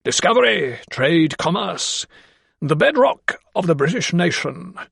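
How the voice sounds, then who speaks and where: A man voicing an imposing gentleman of rank who talks in a brusque, barking way. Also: fairly high pitch, big pitch swings, measured pace, gravelly, slightly rough, no echo, studio quality, voice pushed up, heavily acted. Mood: commanding.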